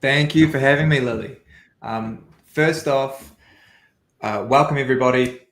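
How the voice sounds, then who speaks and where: A man's voice: medium pitch, unhurried, a bit bright. A man with a New Zealand accent, a sales coach giving an upbeat, structured webinar presentation over video call. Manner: upbeat, structured, walks through an agenda. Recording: echoey room audio.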